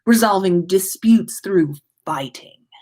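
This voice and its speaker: Woman playing a pompous, slow-spoken aristocratic schoolboy. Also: medium pitch, a little mellow. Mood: haughty disdain. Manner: formal, haughty, measured delivery. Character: prim, pompous, indignant teenage aristocratic schoolboy. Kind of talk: storybook character reading.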